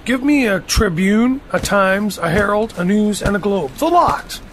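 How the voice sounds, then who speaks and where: A man's voice: high-pitched, expressive, a little slow, a little hiss or hum. A man playing a brusque gangster henchman in an audio drama. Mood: gruff, pleased.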